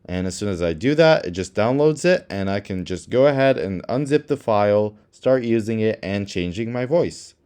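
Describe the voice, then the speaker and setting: A man's voice: on the low side, lively intonation, normal speed, few pauses, a little mellow, clear, resonant tone. A man hosting a how-to tutorial, narrating the steps in a casual, instructional style. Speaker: tutorial host.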